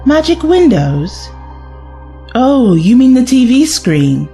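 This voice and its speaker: A woman's voice: high-pitched, big pitch swings, slow pace, mellow, heavily acted. A woman playing a kind, reassuring young time-travelling companion in an audio drama. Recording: music under the voice.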